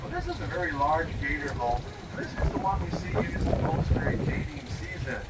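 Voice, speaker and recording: A man with a high-pitched voice gives a guided river tour in a folksy manner, talking to the whole group. He is picked up from a distance on an open tour boat, with loud engine and outdoor noise all around him. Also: lively intonation, fairly quick. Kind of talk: guided tour narration to passengers. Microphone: picked up from afar by a passenger's camera. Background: loud boat engine and ambience.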